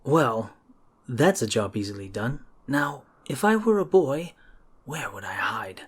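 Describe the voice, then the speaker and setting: A man's voice: medium pitch, sing-song, measured pace, slightly rough. A man reading the part of a self-assured adventurer on a search in an amateur dramatic storybook reading.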